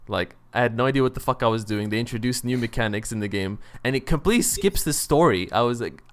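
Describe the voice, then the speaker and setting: A man's voice: medium pitch, fast talker. A man hosting a casual gaming podcast chat, talking enthusiastically and swearing freely. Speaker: podcast host.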